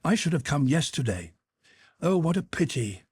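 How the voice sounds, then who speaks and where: A man doing a polite, husky young officer. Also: on the high side, very animated, moderate pace, darkish tone, dry recording, voice pushed up, exaggerated voice. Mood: vexed. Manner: polite, hesitant and a little vexed. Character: young officer of eighteen, pleasant, well-bred, good-natured.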